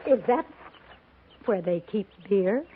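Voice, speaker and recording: A woman's voice: mid-range voice, expressive, takes it slowly. A middle-aged woman playing a haughty, moralizing matron in a 1950s radio drama, prim and proper. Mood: prim, suspicious. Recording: noisy, tinny old radio recording.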